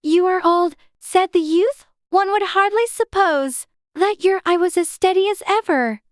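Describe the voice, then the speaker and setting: A woman's voice: very high-pitched, measured pace, dry recording, studio quality. A woman voicing a polite, earnest, puzzled young girl in a dramatized audiobook, with proper, careful manners. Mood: dutiful recitation. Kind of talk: dramatized audiobook character acting.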